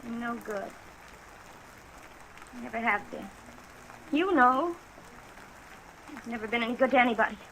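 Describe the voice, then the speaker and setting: A woman's voice: a little high, moderate pace, pauses often, some chatter behind, a little hiss or hum, rough recording. An actress playing a desperate, bitter, fearful young woman in a 1950s radio drama, emotional and plaintive, on a vintage recording. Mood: weary, despairing. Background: steady rain sounds.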